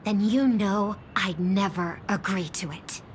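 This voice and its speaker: A woman acting a solemn, principled young heroine in a video game, speaking in an earnest, measured, resolute way. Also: mid-range voice, slight background noise, close to an everyday voice. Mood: stern. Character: solemn, principled young sister, guardian of harmony. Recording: music under the voice. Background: music.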